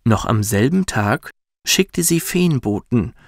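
A man narrating a children's bedtime story in a soft, soothing, measured way. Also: mid-range voice, lively intonation, vocal fry, dry recording, clean studio sound. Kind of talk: gentle scripted storytelling for children.

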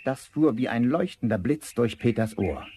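A man's voice: medium pitch, expressive, normal speed, dark tone, slight background noise. A man narrating a fairy tale in a scripted storybook style.

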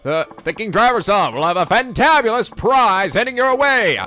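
A cheesy male radio DJ from a video game, showy and sing-song, swooping up high and down again with a bright, forceful tone as a man puts him on. Every line is sold like a broadcast. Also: animated, moderate pace.

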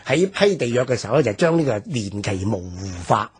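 A man's voice: medium pitch, very animated, brisk, breathy edge. A man in his sixties from Hong Kong, with a Hong Kong Cantonese accent, explaining history on a radio talk show and padding his sentences with fillers. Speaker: guest commentator.

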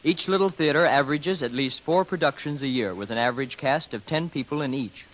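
A man's voice: medium pitch, brisk, a bit bright, poor audio. This is a man giving a semi-scripted interview on 1930s radio. He sounds formal and earnest, with a mid-range voice and long, wordy sentences. The recording has the sound of a dated broadcast. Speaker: guest expert on community theater.

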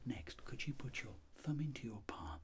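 A middle-aged British man doing an ASMR clinic roleplay, soft, breathy and whispery, with gentle, polite, measured instructions. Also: medium pitch, big pitch swings, slight background noise. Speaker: ASMR creator role-playing a clinic doctor.

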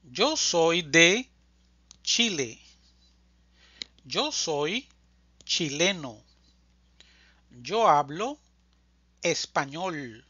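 A language teacher reading a scripted drill slowly, enunciating deliberately phrase by phrase, as a close voice-over. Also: mid-range voice, sing-song, sharp tone, slightly rough, dry recording, highs cut off. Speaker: Spanish teacher.

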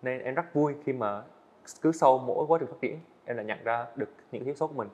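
Chàng trai trẻ nói nhanh, giọng hơi đục. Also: male voice, medium pitch, a little mellow, muffled recording. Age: young adult. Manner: earnest, fast-talking, frequent small restarts. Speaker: student animator guest. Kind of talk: casual interview answers. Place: indoor studio set.

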